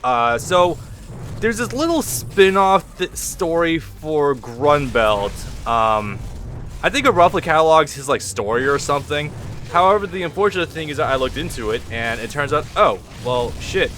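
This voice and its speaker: A man hosting a let's play from his home computer, rambling frankly and swearing freely in a loud, projecting voice. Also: a little high, lively intonation, normal speed, slight background noise. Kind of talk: casual gameplay commentary. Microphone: computer recording mic.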